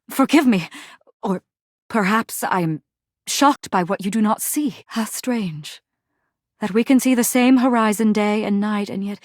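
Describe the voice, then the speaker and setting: A woman's voice: mid-range voice, dry recording, close to an everyday voice. A grandiose, war-weary general played by a woman declaims in a mid-range voice. The delivery is solemn and fervent, full of archaic, poetic turns, like a stage tragedy made for a video game. The sound is dry, with no room around it. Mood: intense, wondering. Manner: theatrical, archaic poetic speech. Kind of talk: dramatic video game character acting.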